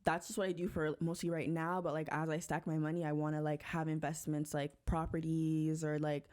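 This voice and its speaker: A woman who is a podcast guest, chatting in a relaxed, agreeable way full of fillers. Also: low voice, brisk. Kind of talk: casual chat.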